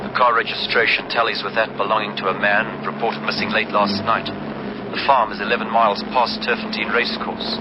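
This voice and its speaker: An actor playing a formal, clipped police dispatcher speaking over a two-way radio in a radio drama. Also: male voice, on the low side. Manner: clipped, official, procedural. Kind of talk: vintage radio drama acting. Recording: very noisy crackling radio transmission.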